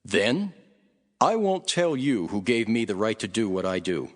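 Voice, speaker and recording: An actor playing a calm, authoritative male teacher and prophet in a dramatized scripture reading. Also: on the low side, unhurried. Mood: assured.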